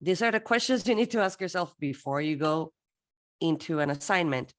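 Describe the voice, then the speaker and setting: A woman from Peru presenting a workshop for interpreters, lively and playful, acting out little dialogues as she teaches. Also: low voice, brisk.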